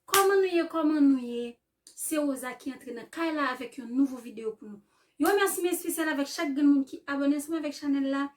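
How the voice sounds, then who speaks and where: A chatty woman hosts her own commentary channel and talks in a high voice. She fires off rhetorical questions and picks apart a video piece by piece. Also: a little fast, recorded from a distance. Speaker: channel host.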